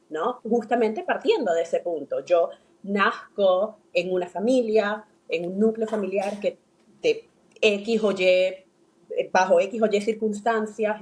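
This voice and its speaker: A woman's voice: medium pitch, darkish tone, poor audio. A woman co-hosting a philosophy podcast, engaged and explaining ideas, sometimes stumbling over repeated words. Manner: introduces guest, engaged, stammers when building a point. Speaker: podcast co-host. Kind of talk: podcast conversation.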